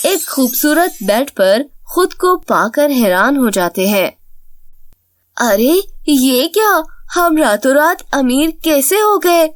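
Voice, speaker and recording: High-pitched woman narrating a children's story. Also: no room sound, studio quality.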